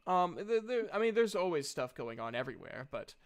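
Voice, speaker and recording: A man improvising tabletop game narration as game master, halting often as he searches for words. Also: a little high, sing-song.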